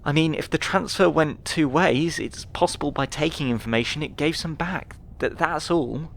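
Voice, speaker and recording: A man's voice: on the high side, normal speed, vocal fry, slight background noise, pitched above the performer's own voice. A man voicing an earnest, anxious female expedition scientist in a dramatised sci-fi audiobook, with urgent delivery. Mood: earnest, astonished.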